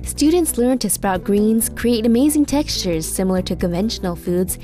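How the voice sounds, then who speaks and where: A woman's voice: medium pitch, animated, normal speed, warm tone. A woman reading scripted documentary narration. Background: music behind the voice.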